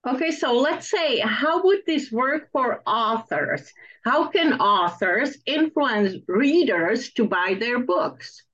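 Slightly high-voiced woman interviewer, dull audio. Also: expressive, takes it slowly, fairly bright tone. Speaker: interviewer, host. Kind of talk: interview questions, partly scripted introduction. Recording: dull, band-limited audio.

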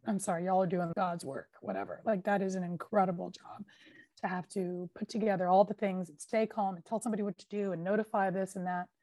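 A woman tells a true-crime case on a podcast in a chatty, emphatic way, leaning on fillers as she goes. She is recorded close and dry, with no room sound. Her pitch sits in a middle range for a woman. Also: steady tone, quick pace, warm tone, no echo. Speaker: podcast host. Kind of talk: casual true-crime storytelling.

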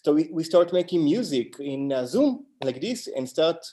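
A man's voice: a little high, very animated, moderate pace, mellow. Israeli-accented man telling stories casually. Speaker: band musician, interview guest. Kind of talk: casual interview chat, storytelling.

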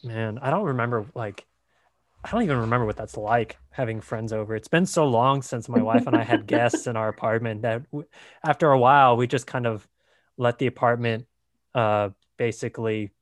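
A man's voice: mid-range voice, moderate pace, dry recording, studio quality. A man hosting a podcast, chatting casually and rambling a little, with lots of fillers. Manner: relaxed, rambling, many fillers like "like" and "uh". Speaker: podcast host.

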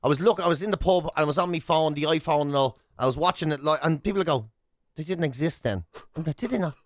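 A man's voice: fairly high pitch, fast, dry recording, studio quality. An Irish man in his forties co-hosting a radio show, telling rambling, self-mocking stories in casual banter. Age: 40s.

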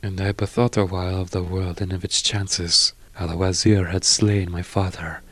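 A man's voice: deep voice, flat delivery, normal speed. An actor playing a fallen prince turned one-eyed beggar, solemnly telling his tale in archaic, formal words for an audio drama. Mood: sorrowful, tense. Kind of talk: first-person storytelling in audio drama. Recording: loud ambient sound effects behind voice.

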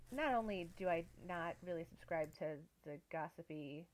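A woman's voice: fairly low pitch, expressive, takes it slowly, a little hiss or hum. A woman co-hosting a casual podcast, talking reflectively with many "like" and "um" fillers. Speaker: podcast co-host.

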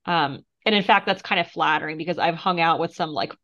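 A woman in her thirties from Moldova, a guest author talking thoughtfully in an interview with soft laughs and fillers. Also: low voice, fairly quick. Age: 30s. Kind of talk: casual interview conversation. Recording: muffled lo-fi sound.

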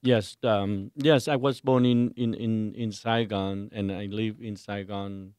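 A man from Vietnam with a Vietnamese accent, a priest answering interview questions haltingly, searching for words. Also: lowish voice, steady tone, darkish tone, clear, resonant tone, no room sound, clean studio sound. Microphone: studio microphone.

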